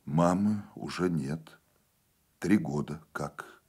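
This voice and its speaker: A man's voice: extremely low pitch, slow, a little mellow, husky, exaggerated voice. A man playing a stern, guarded partisan in a dramatic story reading, terse and restrained. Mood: subdued.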